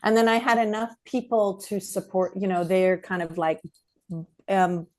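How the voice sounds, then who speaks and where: Middle-aged South African-born woman, interview guest, loose chatty delivery full of fillers, mid-pitched voice. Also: normal speed, a little mellow. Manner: chatty, rambling, many fillers and restarts. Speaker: guest filmmaker. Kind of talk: casual interview chat.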